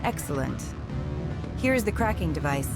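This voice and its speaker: A woman playing a polite, scheming alien agent in a video game, speaking with deliberate persuasion. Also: sits low, very animated, loud music behind the voice. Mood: satisfied. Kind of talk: video game cutscene dialogue.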